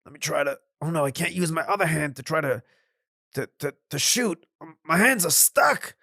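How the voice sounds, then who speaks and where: A man voicing a brutish male landowner who shouts and stammers in frantic panic. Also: on the high side, animated, normal speed, creaky, no room sound, clean studio sound, voice pushed up, exaggerated voice. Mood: panicked.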